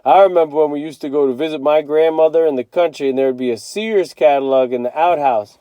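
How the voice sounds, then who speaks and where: A man's voice: fairly high pitch, a little fast, mellow, no room sound, lightly acted. Man reading as an easygoing, jovial storybook dad. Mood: jovial nostalgic. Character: easygoing, joking, reassuring father of a family. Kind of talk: read-aloud of a children's novel.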